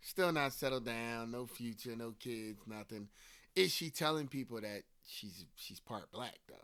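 A man with a Delco Philadelphia accent talks loosely in a casual book-club chat. He tells animated stories full of fillers and the odd swear word, and his voice sits in an ordinary middle register. The sound is dry and close, with no room around it. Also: mid-range voice, unhurried, smooth, no echo. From Philadelphia area. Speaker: podcast co-host.

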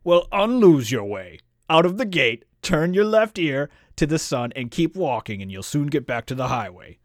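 A man's voice: medium pitch, animated, moderate pace, dry recording, clean studio sound, lightly acted. A man voicing a grumpy, blustering beast-man lord with theatrical indignation, reading from a fantasy storybook. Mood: indignant, blustering. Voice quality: raspy growl.

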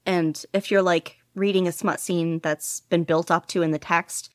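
A woman's voice: lowish voice, fairly even intonation, normal speed, dry recording. Woman guest, giggly and rambly, lots of "like", dry close audio. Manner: giggly, rambling, heavy on fillers. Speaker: podfic reader and guest. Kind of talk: casual interview chat.

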